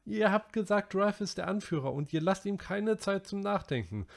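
A fretful, chubby schoolboy whines and pleads in a high, strained voice, as a man puts him on. He is indignant and anxious, his tone pitched well above a grown man's. His voice swoops with agitation and a gravelly edge. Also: lively intonation, moderate pace. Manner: high, whiny and breathless pleading.

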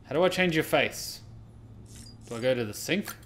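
A man's voice: on the high side, animated, normal speed, fairly bright tone. Man commentating gameplay over background noise. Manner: exclamatory, questioning, swearing. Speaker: gaming streamer. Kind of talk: casual live gameplay commentary, reading on-screen text aloud.

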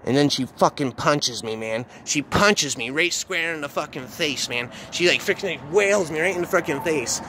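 A man's voice: a little high, expressive, normal speed, hardly any pauses, bright, forceful tone, a little hiss or hum. A man telling an informal first-person horror story in a rambling, repetitive, slangy, sweary way.

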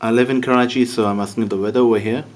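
A man with a Pakistani accent, speaking at an everyday middle pitch, gives a relaxed, chatty running commentary as he tests an app. He talks into the phone camera he is filming with, amused by the odd replies. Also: racing. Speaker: app demonstrator. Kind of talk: casual demo narration.

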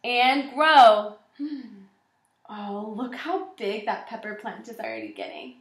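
A woman in her twenties reading aloud and teaching young children as a kindergarten teacher, in an encouraging, animated way. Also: a little high, slow pace. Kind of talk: children's read-aloud lesson. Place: small indoor room with shelves and whiteboard. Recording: echoey room.

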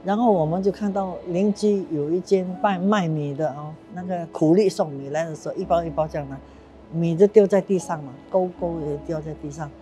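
A woman's voice: fairly low pitch, fairly quick, mellow. A woman in her eighties, a war survivor, telling her story earnestly and with emotion in a documentary interview. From Singapore. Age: 80s. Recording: clear music bed underneath.

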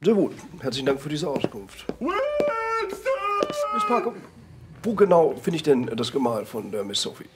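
Man playing a dutiful butler with swooping pitch. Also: wildly swooping pitch, a little slow, pauses often. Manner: formal, deferential, hesitant. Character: dutiful, obsequious male butler of an English manor.

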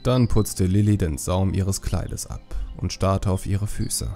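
Low, gravelly male storyteller. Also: takes it slowly, warm tone, faint music behind. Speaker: audiobook narrator. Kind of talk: scripted storytelling narration.

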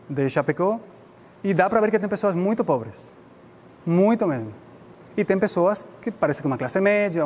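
A middle-aged man from Spain with a Spanish accent, giving an informal, explanatory public lecture in a language that is not his native one. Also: sits high. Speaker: guest lecturer. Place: indoor lecture room. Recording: reverberant hall with background noise.

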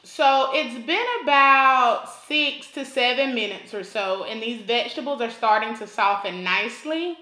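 A woman's voice: on the high side, a little slow, hardly any pauses, sharp tone, reverberant, low-quality recording. A woman from Alabama hosting a cooking tutorial, talking the viewer through each step and repeating points for emphasis. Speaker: cooking channel host.

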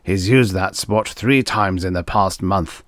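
A man voicing a chubby, domineering TV producer in an audiobook, rumbling, petulant and menacing. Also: measured pace, dry recording. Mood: irritated. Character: chubby, irritable, domineering male TV producer boss. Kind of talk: acted audiobook dialogue.

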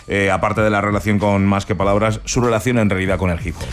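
Un hombre, presentador de radio, que entrevista con preguntas pausadas y algunas vacilaciones. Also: male voice, lowish voice, fairly even intonation, a little hiss or hum. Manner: measured, probing questions with restarts. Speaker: radio host. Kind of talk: radio interview.

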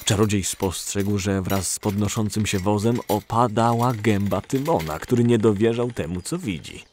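A man's voice: fairly low pitch, normal speed, darkish tone, a little hiss or hum. A man narrating a story in a scripted storytelling style for an audio drama. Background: music.

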